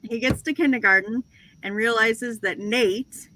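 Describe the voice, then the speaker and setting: A woman's voice: a little high, measured pace, bright, forceful tone, poor audio. Chatty woman in her forties, laughing. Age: 40s. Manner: chatty, self-deprecating, laughs often. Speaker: host of a reseller livestream. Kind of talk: casual chat with lots of laughing.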